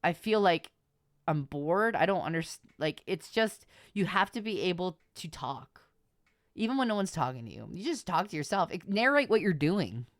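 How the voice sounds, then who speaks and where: A woman's voice: on the low side, very animated, normal speed, no echo, studio quality. A woman streamer giving advice to her viewers in informal livestream chat, repeating her points for emphasis.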